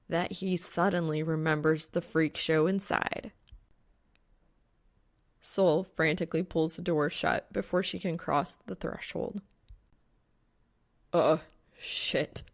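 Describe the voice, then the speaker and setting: Low, creaky woman narrator. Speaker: fan fiction audiobook narrator. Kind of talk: scripted story narration.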